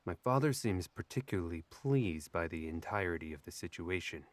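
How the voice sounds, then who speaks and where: A young elf lord played by a man speaks in a very deep voice, dry and sardonic. He sounds abrasive and aristocratic, in a close, dry audio drama recording. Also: animated, a little fast, no room sound. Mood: wry, unimpressed. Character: wry, abrasive young elf noble, secretly divine.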